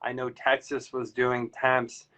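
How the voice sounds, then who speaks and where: A man's voice: mid-range voice, monotone, slow, bright, forceful tone. A man hosting a casual chat, talking loosely and rambling with lots of fillers. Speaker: podcast host. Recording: slightly dull lo-fi audio.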